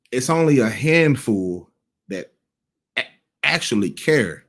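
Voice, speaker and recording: A man in his thirties giving casual cultural commentary, blunt and profane, rambling with frequent restarts. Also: fairly low pitch. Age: 30s.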